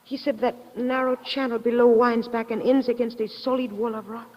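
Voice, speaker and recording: An actress playing an earnest, anxious young Latin woman, husky and intense, in 1950s radio drama style. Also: fairly high pitch, level tone, moderate pace, close to an everyday voice. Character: earnest, anxious Latin woman seeking her brother's killer. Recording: muffled old radio recording with reverb.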